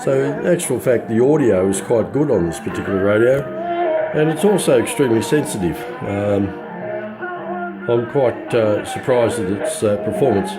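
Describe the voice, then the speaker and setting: Australian man, fairly low voice, a bit scratchy after flu, halting and understated, informal hobbyist walkthrough, music playing clearly behind him. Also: animated. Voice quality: slightly scratchy. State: recovering from influenza. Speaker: radio hobbyist reviewer. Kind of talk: informal hands-on product walkthrough.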